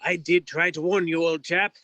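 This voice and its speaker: An actor playing a polite, dryly witty bartender in a noir stage play reading, with formal, old-fashioned manners. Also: male voice, on the high side, level tone, normal speed, sharp tone, no echo, muffled recording. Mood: dry, concerned.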